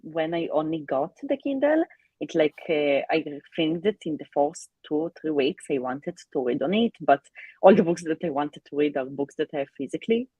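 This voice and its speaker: Non-native woman, husky voice, hesitant casual talk, dry close mic. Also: sits low, lively intonation, dry recording, phone-quality sound. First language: not English. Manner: halting, with many fillers and restarts. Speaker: co-host of a live reading stream. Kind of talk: casual chat.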